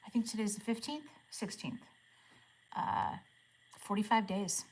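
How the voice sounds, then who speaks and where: A woman in her forties chatting casually with viewers on a livestream, asking friendly questions and murmuring softly when she reads comments. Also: medium pitch, lively intonation, measured pace, a little hiss or hum, low-quality recording. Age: 40s. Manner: drops to a soft murmur when reading comments aloud. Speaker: live streamer.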